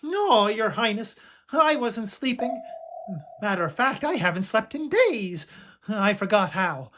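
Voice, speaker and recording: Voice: mid-range voice, very animated, a little slow, sharp tone, slight background noise. A performer playing a lazy, silly Martian servant, goofy and whiny, in a campy audio play. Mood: sheepish, silly.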